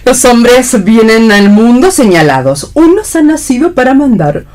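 A woman's voice: a little high, animated, a little slow, a little mellow. An actress playing a haughty, indignant, scolding woman in a theatrical radio play reading. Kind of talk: read-theatre stage acting on radio. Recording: faint noise and clicks.

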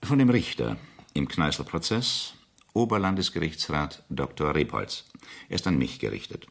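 An actor playing a deferential, formal state official advising royalty in a German radio play, husky and insinuating. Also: male voice, very deep voice, big pitch swings, normal speed, a bit bright, heavily acted.

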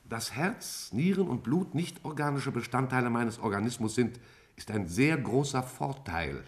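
A man playing an intelligent interstellar cloud in a 1950s radio play, explaining itself in precise, formal, measured words. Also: mid-range voice, lively intonation, lightly acted. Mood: calm, matter-of-fact. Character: intelligent interstellar gas cloud speaking to scientists, measured and superior.

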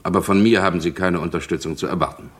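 Deep-voiced, insistent middle-aged male inspector. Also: fast talker. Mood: firm, brusque. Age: early 50s. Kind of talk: 1960s-70s German crime radio and TV drama acting.

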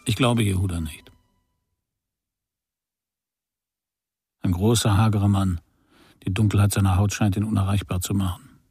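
A man's voice: lowish voice, darkish tone, natural voice. A man acting in a German radio crime drama as a sceptical, brooding, probing investigator.

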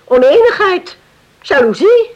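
A woman's voice: very high-pitched, big pitch swings, unhurried, darkish tone, exaggerated voice. A woman acting in a Dutch radio drama as a sceptical, plain-spoken village wife, on a lo-fi recording. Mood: disapproving.

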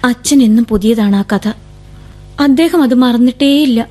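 A woman's voice: on the high side, a little slow, warm tone. An actress playing a young woman torn between her lover and the six children who depend on her, in a radio drama. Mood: earnest. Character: young woman Shari, devoted eldest sister torn by love. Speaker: lead character. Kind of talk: 1970s radio drama acting. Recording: old radio broadcast with faint music.

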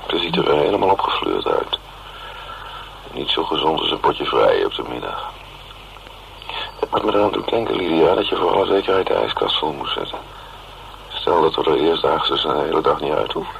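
A man's voice: extremely low pitch, very animated, brisk, vocal fry, pauses often, fairly bright tone, heavily acted. An actor with a Netherlands Dutch accent playing a wry, cynical working man in a radio drama, musing ruefully in a sardonic, rambling way. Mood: sardonic, tender. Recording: dull, hissy old radio recording.

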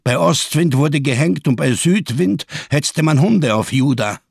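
Gravelly, embittered camp survivor. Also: male voice, a little high, animated, a little slow, no room sound, clean studio sound, exaggerated voice. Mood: bitter, sardonic. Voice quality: gravelly, husky. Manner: solemn, rhetorical, biblical diction, bitterly sardonic. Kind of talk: German radio crime drama monologue.

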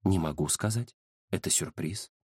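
A man voicing a sly, unflappable female fortune-teller who mutters in a deadpan way in a children's story. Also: on the low side, moderate pace, dry recording, studio quality.

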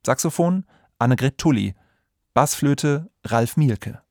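A man's voice: medium pitch, animated, slow pace, dry recording. Dry-sounding male radio announcer. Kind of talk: scripted radio drama credits.

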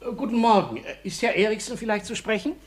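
A man's voice: high-pitched, animated, quick pace, slightly rough. A man playing a self-assured, jovial older father in a radio comedy, genteel, amused and commanding. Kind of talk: 1960s German radio comedy acting.

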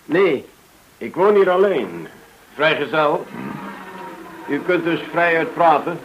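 An actor in a radio play as a reserved, dry former officer who speaks tersely. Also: male voice, fairly high pitch, expressive, slow, lo-fi sound. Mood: matter-of-fact. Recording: radio drama with faint background noise.

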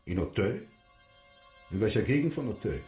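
A man in a German radio drama playing a proud, uneasy gentleman prosecutor, formal and guarded. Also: fairly low pitch, big pitch swings, moderate pace, reverberant, over music. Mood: wary.

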